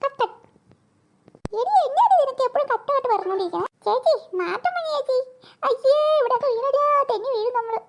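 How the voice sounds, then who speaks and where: A performer voicing an excitable, whiny and playful little girl in a comedy cartoon. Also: extremely high pitch, fairly quick. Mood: excited, alarmed.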